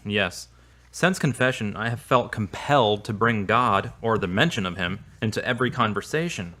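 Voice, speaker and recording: A man's voice: on the low side, expressive, normal speed, bright, forceful tone. A man playing an earnest, polite religious believer in an amateur audio drama.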